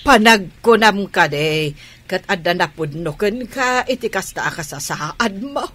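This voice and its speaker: Slow, husky, anxious mother. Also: medium pitch, animated, a bit bright. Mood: shocked, scolding. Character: anxious, dismayed mother fretting over her child. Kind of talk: Ilocano radio drama acting.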